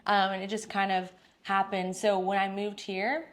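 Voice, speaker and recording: Woman, thirties, from Texas, chatty interview guest, upbeat, everyday pitch. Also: little pitch movement, moderate pace. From Dallas-Fort Worth, Texas. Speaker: guest, salon owner. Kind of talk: casual interview.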